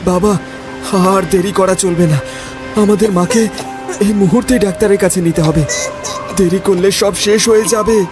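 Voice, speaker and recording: A man performs an anxious, determined young son from a horror cartoon. His voice sits high and comes out urgent and emphatic, with music playing underneath. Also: steady tone, normal speed, long pauses, natural voice. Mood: urgent, pleading. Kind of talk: dramatic horror-cartoon voice acting.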